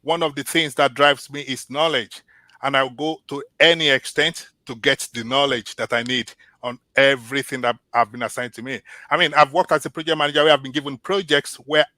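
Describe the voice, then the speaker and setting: A man from Nigeria, a Yoruba speaker by birth, answers interview questions earnestly and with care. His voice is bright and forceful, and the recording sounds close and dry. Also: medium pitch, steady tone, no room sound. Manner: earnest, measured, with restarts. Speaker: job candidate. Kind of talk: mock job interview answers.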